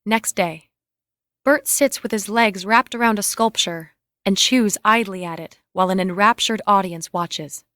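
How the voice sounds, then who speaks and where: A woman reads screenplay scene directions as a narrator, with a mid-range pitch. Her voice is recorded dry, with hardly any room around it. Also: a little slow, a bit bright, no room sound. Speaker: reader of stage directions.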